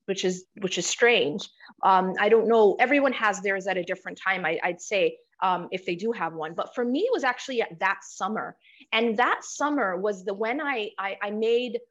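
A young woman from the United States speaks as a podcast guest at a middle pitch. She tells her story reflectively, restarting often and repeating words. The audio is a little dull. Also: fairly bright tone. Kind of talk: personal storytelling in an interview. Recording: slightly muffled podcast audio.

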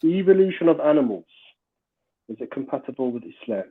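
A man calling in who asks his question in a brisk, direct way. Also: a little high, animated, breathy edge. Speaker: caller. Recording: tinny phone line.

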